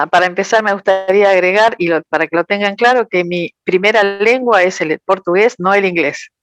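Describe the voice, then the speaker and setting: A woman interpreting a talk, careful and measured. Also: medium pitch, a bit bright, no echo. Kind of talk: consecutive interpretation.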